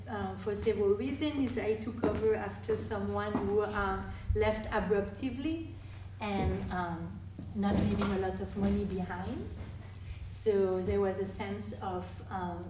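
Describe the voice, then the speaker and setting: A woman, a guest speaker answering audience questions in a halting way with many restarts. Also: mid-range voice, background noise. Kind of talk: live Q&A presentation. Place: meeting hall. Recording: echoey hall from far off.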